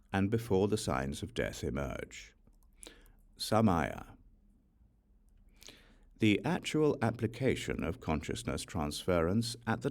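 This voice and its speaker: A man reading a religious text as an audiobook, with a measured, formal, instructional delivery. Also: low-pitched, vocal fry, a little mellow. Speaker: audiobook reader. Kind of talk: scripted narration of a religious text.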